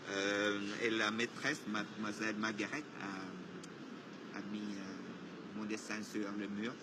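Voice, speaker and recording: An older man with a Vietnamese accent speaks in a somewhat low voice, halting and circling back over his words as he answers casually. He is recorded outdoors on a city street, with loud traffic noise rumbling behind him. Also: little pitch movement, gravelly. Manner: hesitant, many restarts and fillers, amused. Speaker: artist being interviewed.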